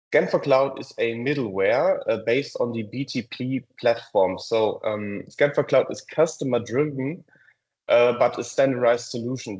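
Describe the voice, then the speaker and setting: A man from Germany with a German accent presents a business software webinar, with frequent ums and uhs in a halting delivery. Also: mid-range voice, low-quality recording.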